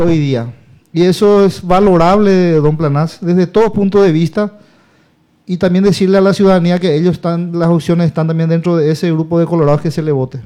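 A man who talks on and on in a rambling way, leaning hard on his points. His voice sits high, with a dark, mellow tone. He sounds like a local politician in casual campaign talk. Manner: rambling, emphatic, repeats himself.

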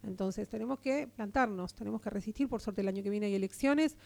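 An Argentine woman speaks as a radio interview guest, with a gravelly edge running through her voice. Her tone is mellow and dark, and she argues with earnest, steady conviction, restarting phrases as she goes. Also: mid-range voice, fairly quick. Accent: Argentine Spanish. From Argentina, Partido de la Costa. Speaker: interviewed guest, local political figure.

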